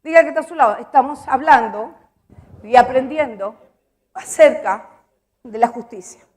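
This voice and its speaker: A woman preaches in a high voice and builds emphasis by repeating her lines. She stops to ask her listeners whether they follow her. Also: normal speed. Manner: emphatic, repeats phrases, asks the congregation questions. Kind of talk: sermon.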